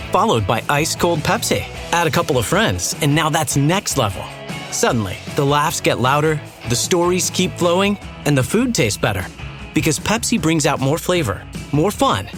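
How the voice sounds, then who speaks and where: A young man delivers an upbeat commercial voice-over. His voice is fairly high with very animated intonation, over prominent music. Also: measured pace. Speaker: advertising voice-over. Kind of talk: upbeat scripted commercial read.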